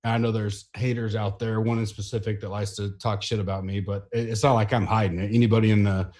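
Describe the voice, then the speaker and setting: A man's voice: on the low side, little pitch movement, fast, darkish tone. A man hosting a casual sports betting podcast, talking loosely with lots of fillers and restarts. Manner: loose, rambling delivery with frequent fillers. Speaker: podcast host.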